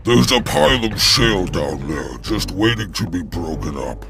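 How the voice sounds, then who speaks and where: An actor playing a greedy, sneering slave-mine taskmaster in a video game, domineering and contemptuous. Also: male voice, low voice, sing-song, creaky, exaggerated voice. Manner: domineering, contemptuous, drawn-out delivery. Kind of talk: dramatic video game cutscene acting.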